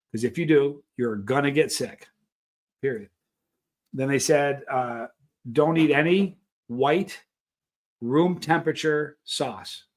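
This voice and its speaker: A middle-aged man hosting a live stream, chatting casually and telling anecdotes in an animated way, acting out the things people said. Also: fairly high pitch. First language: English. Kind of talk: casual chat answering live viewers, telling travel anecdotes.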